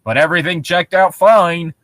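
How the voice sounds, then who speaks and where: A man's voice: sits high, moderate pace, a bit bright, no echo. A man giving casual comedic commentary and reading passages aloud, sounding sarcastic and incredulous and swearing casually. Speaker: co-host reading and riffing on internet horror stories.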